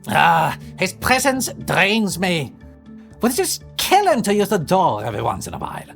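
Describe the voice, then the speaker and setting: A man's voice: high-pitched, wildly swooping pitch, normal speed, creaky, a bit bright, faint music behind, full character voice. An actor playing a weary, theatrical immortal man who talks in florid, sardonic turns in an audio roleplay. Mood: scornful. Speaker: lead character in an audio drama. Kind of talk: ASMR audio roleplay acting.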